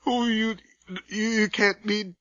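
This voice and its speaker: A tormented man in a horror radio play, high-voiced and sharp with strain. He speaks slowly and brokenly, pleading in anguish, in a dry recording. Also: little pitch movement, no room sound, exaggerated voice. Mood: shaken, desperate. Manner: desperate, pleading, stammering. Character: terrified, anguished man tormented by his dead twin. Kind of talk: horror radio drama acting. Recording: dry, slightly dull radio drama recording.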